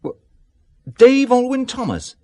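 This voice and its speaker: A man's voice: extremely high pitch, huge pitch swings, unhurried, mellow, full character voice. An actor playing a suave, teasing, middle-aged British businessman in a radio drama, urbane and wry. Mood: amused, guarded.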